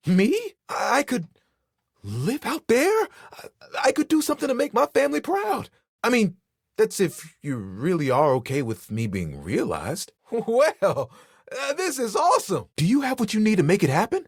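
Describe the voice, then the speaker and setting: A man's voice: high voice, huge pitch swings, measured pace, breathy edge, no echo, studio quality, full character voice. A man voicing a flirty, laid-back living towel in a video game, playful and heartfelt. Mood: excited, moved. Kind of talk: video game character acting.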